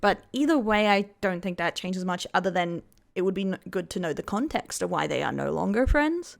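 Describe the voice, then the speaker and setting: A woman's voice: medium pitch, creaky, fairly bright tone. A woman hosting a commentary video, explaining clips in a skeptical, critical way. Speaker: commentary host. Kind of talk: reaction commentary.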